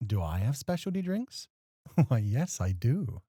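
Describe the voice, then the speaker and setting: A man playing a courteous, gently teasing club host in a soft, flirty ASMR roleplay, suave and polite. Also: mid-range voice, very animated, unhurried, breathy edge, dry recording, clean studio sound. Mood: polite, playful.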